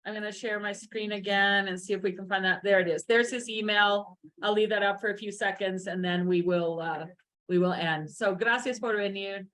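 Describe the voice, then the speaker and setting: A woman moderates a public presentation. She talks at a slow pace in an informal, unscripted way, pausing and searching as she goes, with a medium pitch. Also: fairly even intonation, a bit bright. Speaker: event host and moderator. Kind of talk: informal spoken hosting of a presentation.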